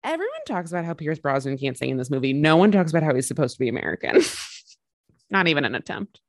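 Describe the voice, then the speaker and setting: A woman's voice: low-pitched, big pitch swings, quick pace, no echo, studio quality. A woman co-hosting a podcast, chatting casually and jokingly about a film, with lots of fillers. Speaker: podcast co-host.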